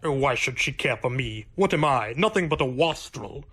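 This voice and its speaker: A man's voice: medium pitch, lively intonation, normal speed, fairly bright tone, husky. Man playing a florid society playboy. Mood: flustered, wistful. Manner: florid, theatrical. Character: flattering, self-deprecating society playboy gentleman. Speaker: actor in an amateur radio play. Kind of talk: radio mystery drama acting.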